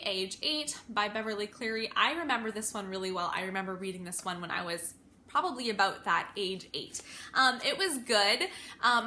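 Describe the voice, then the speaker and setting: A woman's voice: on the high side, bright, forceful tone, slight background noise. A woman in her thirties talking informally in a casual, chatty review vlog, working through her opinions conversationally with some hesitation. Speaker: homeschooling parent vlogger.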